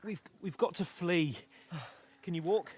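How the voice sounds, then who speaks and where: Agitated high-voiced medieval companion. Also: male voice, a little slow, slightly rough. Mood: alarmed. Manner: agitated, urgent. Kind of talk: video game cutscene acting.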